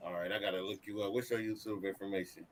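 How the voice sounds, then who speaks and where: A man's voice: lowish voice, flat delivery, quick pace. A man in his thirties talking casually on a live stream, emphatic and preachy, ending lines with "man". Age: 30s. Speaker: guest.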